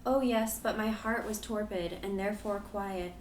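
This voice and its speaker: A man voicing a gentle, sorrowful young woman in an audiobook reading, pleading softly. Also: sits high, fairly even intonation, normal speed, echoey room, slight background noise, voice pushed up, natural voice. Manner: pleading, soft and tremulous.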